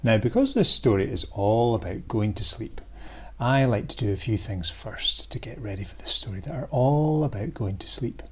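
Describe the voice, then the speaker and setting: A man reading a picture book aloud to young children in an expressive, animated storytelling way, as its author. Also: medium pitch, normal speed, slightly rough. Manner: expressive, addresses young listeners directly.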